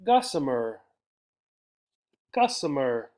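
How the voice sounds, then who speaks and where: A man's voice: medium pitch, sing-song, slow pace, a little mellow. A man with an American accent explains vocabulary to English learners in a didactic way, repeating key words and often checking in as he goes. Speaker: English teacher.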